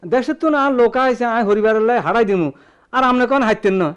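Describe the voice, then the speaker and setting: An actor playing an excitable, fretful customer in a radio drama, with a Noakhali accent and an exclamatory, wailing manner. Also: male voice, very high-pitched, quick pace, clear, resonant tone. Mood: demanding. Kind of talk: Bengali radio drama acting.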